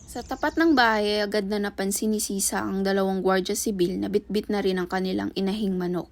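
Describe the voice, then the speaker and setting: A woman's voice: mid-range voice, steady tone, a little fast. A woman narrating a radio play from a script.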